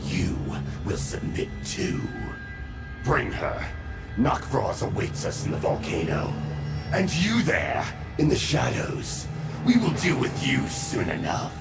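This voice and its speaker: A man playing a menacing cult blood lord in a fantasy video game, grandiose and threatening, with loud music behind. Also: medium pitch, wildly swooping pitch, slow pace, vocal fry, roomy sound, exaggerated voice. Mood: menacing, commanding.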